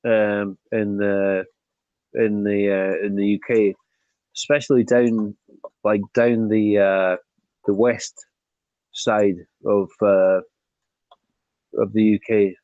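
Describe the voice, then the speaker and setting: A Scottish man from the Highlands speaks slowly and haltingly, filling his sentences with ums and restarts. His voice sits a little low. The sound is dull and dry. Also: level tone, a little mellow, dry recording. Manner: hesitant, many fillers and restarts. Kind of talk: casual discussion.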